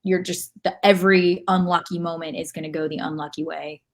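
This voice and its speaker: A woman co-hosting a soccer podcast, speaking in a measured way with frequent "you know". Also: fairly low pitch, steady tone. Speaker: podcast co-host. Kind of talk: casual soccer podcast chat.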